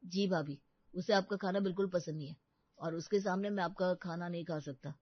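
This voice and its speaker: A woman's voice: on the low side, steady tone, fairly quick, no echo, lo-fi sound, lightly acted. A woman voicing a hapless, defensive young college man in a cartoon family story, earnest and exasperated.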